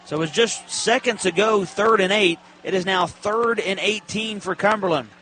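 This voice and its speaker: Bright, high-voiced male football play-by-play announcer. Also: moderate pace, slight background noise, poor audio. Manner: clipped present-tense calls in short bursts. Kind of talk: college football radio commentary.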